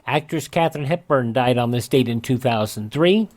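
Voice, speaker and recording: A man's voice: medium pitch, normal speed, a little mellow. A man with an American accent hosting a radio show, reading out historical dates in a scripted almanac style.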